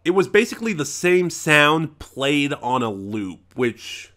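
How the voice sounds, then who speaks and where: Bright-voiced man giving a casual review. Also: fairly high pitch, sing-song. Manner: opinionated, rambling, halting with self-corrections. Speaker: reviewer, channel host. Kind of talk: casual unscripted audio drama review.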